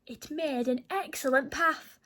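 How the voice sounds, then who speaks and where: A woman reading a children's picture book aloud in an expressive, teacherly way. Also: high voice, a little slow. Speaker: children's story reader.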